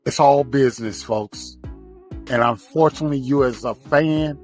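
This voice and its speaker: Male, medium pitch, informal direct-to-viewer monologue, halting phrasing, faint music.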